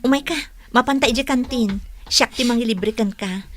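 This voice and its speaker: A woman acts a young student in a radio drama, somewhat high in pitch. She is an earnest friend, helpful and easily troubled. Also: normal speed, close to an everyday voice. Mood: friendly.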